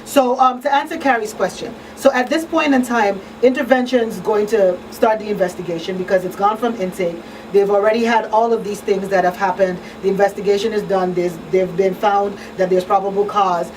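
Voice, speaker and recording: A woman hosts a talk show in a small studio, explaining conversationally. Her voice sits at a middle pitch. The pickup is somewhat distant, with faint room noise. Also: steady tone, fairly quick, darkish tone. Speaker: radio show host and crisis counselor. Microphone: studio broadcast mic on boom arm.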